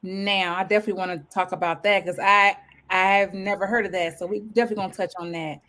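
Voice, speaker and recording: An upbeat woman from Ohio hosts a casual interview show, talking in a lively, enthusiastic way as she reads out a guest's background and asks about his life. Her voice sits at an ordinary middle pitch for a woman. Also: fast talker. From Cincinnati, Ohio, USA. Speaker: show host.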